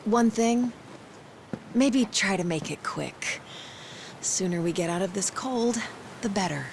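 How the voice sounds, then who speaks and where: A woman's voice: mid-range voice, expressive, takes it slowly, gravelly. Casual, no-nonsense female companion for a game; middle register, blunt, noisy ambience. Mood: dry, impatient. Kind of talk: English video game cutscene acting.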